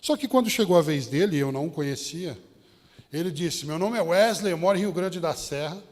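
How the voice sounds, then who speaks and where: A man's voice: fairly high pitch, lively intonation, fairly quick, a little mellow. A man with a São Paulo Brazilian accent preaching a sermon as a Baptist pastor, addressing his listeners directly with rhetorical questions and anecdotes. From São Paulo state, Brazil. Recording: long hall reverb.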